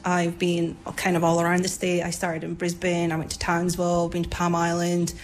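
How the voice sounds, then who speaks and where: A woman's voice: on the low side, completely flat delivery, normal speed, slight background noise. A woman in her thirties with a Scottish accent, speaking informally in an interview as a police forensic officer. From Scotland. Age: 30s.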